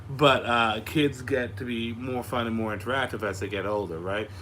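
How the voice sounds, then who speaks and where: A young man with a slightly raspy voice that is starting to give out, chatting casually and frankly to viewers of his live Q&A, swearing freely as he rambles through stories. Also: medium pitch, steady tone, normal speed, pushed and bright. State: losing his voice after being sick. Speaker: host of a live Q&A stream. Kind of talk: casual chat to online viewers. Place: a room upstairs at home. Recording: clearly audible background noise.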